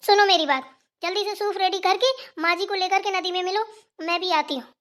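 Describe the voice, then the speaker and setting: Woman voicing a very high, sassy young sister-in-law. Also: fairly quick, fairly bright tone. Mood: vain, bossy. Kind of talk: Hindi family cartoon voice-over.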